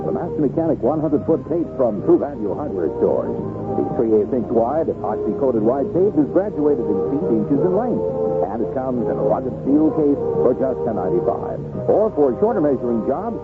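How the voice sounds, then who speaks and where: A male announcer delivers a scripted vintage radio commercial. His medium-pitched voice moves up and down in a lively way, over loud music on a tinny broadcast. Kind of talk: scripted 1970s radio advertisement.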